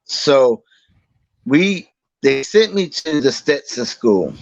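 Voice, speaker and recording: A man, a guest telling his story slowly in a casual interview, halting with many fillers and restarts. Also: medium pitch, lively intonation, creaky, no echo, dull audio. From Boston, Massachusetts. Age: 40s.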